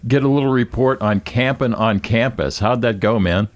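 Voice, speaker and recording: A man's voice: medium pitch, normal speed, no echo. A man hosting a church podcast, asking questions in a friendly, encouraging way. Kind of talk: casual podcast interview.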